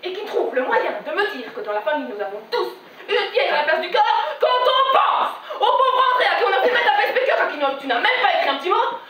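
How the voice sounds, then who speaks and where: A woman's voice: very high-pitched, lively intonation, a little fast, fairly bright tone, distant mic. A woman voicing an aggrieved older mother, plaintive and emphatic, in a theatrical stage monologue. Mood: reproachful. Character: aggrieved, self-pitying older mother guilt-tripping her daughter. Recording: echoey stage recorded from afar, faint noise.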